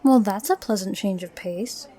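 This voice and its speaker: A woman's voice: mid-range voice, moderate pace, warm tone, natural voice. A woman playing a tactful, wry adventurer interviewing recruits in a fantasy audio drama.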